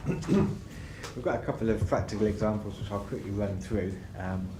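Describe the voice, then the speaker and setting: A man's voice: lowish voice, moderate pace. A man co-presenting a conference session, talking plainly and practically as he walks through worked examples. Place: conference room. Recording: echoey room with background noise.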